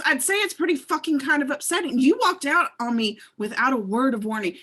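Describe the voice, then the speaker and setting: A woman's voice: high voice, heavily acted. A woman reading a furious young woman confronting the ex who left her, with a put-on Southern accent and plenty of swearing. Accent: American Southern, put on. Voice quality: husky, swearing, lively delivery. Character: furious young woman, jilted ex-car thief. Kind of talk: amateur script read-through.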